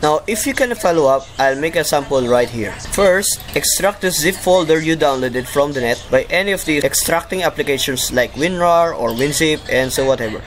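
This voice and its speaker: A man with a Filipino accent narrating a software tutorial in an informal way. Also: on the high side, lively intonation, moderate pace, few pauses. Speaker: tutorial presenter. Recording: clearly noisy home voice-over recording.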